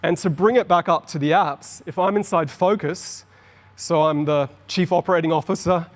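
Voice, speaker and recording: A middle-aged Australian man giving an enthusiastic, explanatory conference talk on stage. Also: fairly high pitch. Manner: explanatory, enthusiastic, quickens on asides. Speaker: conference presenter. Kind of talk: keynote presentation. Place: large conference hall on stage. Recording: long hall reverb.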